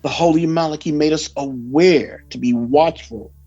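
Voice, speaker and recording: A man preaching and reading out a report in a solemn, emphatic oratorical manner with dramatic pauses. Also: on the high side, mellow. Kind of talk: sermon mixed with read-out news report. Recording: dull lo-fi recording with background music.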